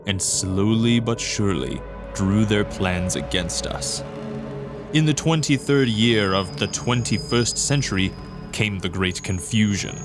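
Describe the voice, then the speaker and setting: A solemn male narrator, played by an actor, tells an invasion tale for a radio drama. He is measured and portentous, stretching key words. Music plays clearly underneath him. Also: lowish voice.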